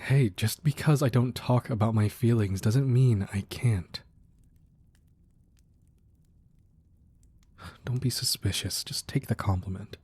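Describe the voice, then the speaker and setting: A man playing a devoted, protective crime boss boyfriend in a romantic ASMR roleplay, speaking softly and earnestly with hesitant pauses. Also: medium pitch, slightly rough, close to an everyday voice. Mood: playfully defensive. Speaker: audio roleplay performer. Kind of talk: romantic ASMR roleplay, intimate scripted monologue.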